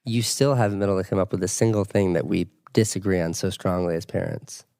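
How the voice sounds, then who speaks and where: A man's voice: low voice, fast, creaky, warm tone, clear, resonant tone, no room sound. A man in his thirties co-hosting a casual podcast with his wife, dry and teasing. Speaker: podcast co-host. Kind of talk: casual couple chat. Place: home in New York City.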